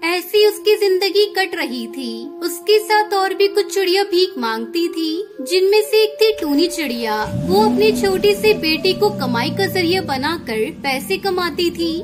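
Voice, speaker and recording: A woman's voice: very high voice, expressive, unhurried, few pauses. A woman narrating a scripted children's cartoon story. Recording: music behind the voice.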